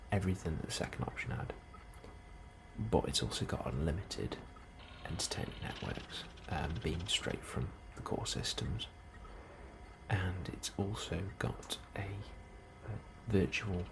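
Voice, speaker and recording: A man in a soft, hushed ASMR role-play, speaking calmly and unhurriedly as a helpful colony immigration officer, close to the microphone. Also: low-pitched. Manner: hushed, unhurried, sometimes dropping into whisper. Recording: clearly audible background ambience noise.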